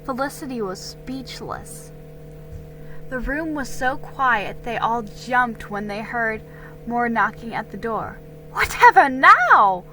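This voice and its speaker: A woman giving an amateur dramatic storybook reading, deliberate and a little halting, with stumbles. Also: on the high side, bright, forceful tone, soft background music, rough recording.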